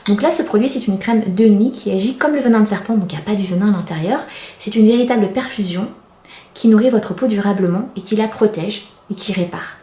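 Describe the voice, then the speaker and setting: A woman in her thirties talks to her viewers like a chatty beauty vlogger. Her voice is soft-edged and mellow, at a middling pitch. She is confiding and a little self-deprecating, and the room around her adds a clear echo. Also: fairly quick. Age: 30s. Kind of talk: casual product review to camera. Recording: roomy, echoey indoor sound.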